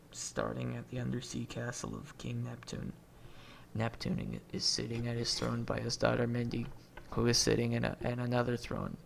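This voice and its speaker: A man's voice: mid-range voice, gravelly, slight background noise. A man reading a movie script aloud as an amateur, announcing names and stage directions and stumbling over words.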